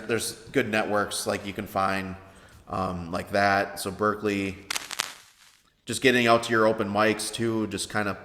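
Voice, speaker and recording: A man in his thirties with a baritone voice, answering interview questions in a hesitant way with many fillers. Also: gravelly, a bit bright. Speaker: interview guest, veteran songwriter. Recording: echoey room with long reverb.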